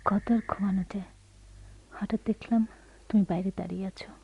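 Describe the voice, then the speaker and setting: A woman's voice: medium pitch, steady tone, fairly quick, creaky, muffled recording, a little hiss or hum, lightly acted. An actress playing a proud, tormented married woman in a Bengali radio drama, with theatrical, emotionally charged delivery. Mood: concerned, subdued.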